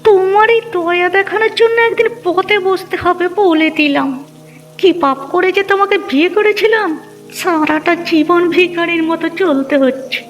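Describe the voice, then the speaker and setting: A woman voicing a sharp-tongued, stingy aunt in a cartoon, scornful and nagging. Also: extremely high pitch, moderate pace, lo-fi sound, a little hiss or hum. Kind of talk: Bengali cartoon dubbing.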